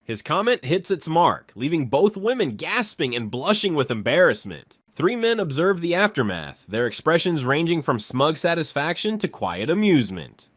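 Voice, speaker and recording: A man's voice: on the high side, sing-song, normal speed, few pauses, no room sound, clean studio sound. A man reading scripted story narration as a recap narrator.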